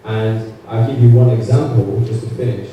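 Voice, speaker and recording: A man from Barbados, raised in the UK, answering a question on a conference panel in an earnest, halting way with many fillers. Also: on the low side, warm tone, slight background noise. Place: large conference hall. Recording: echoey hall heard from far off.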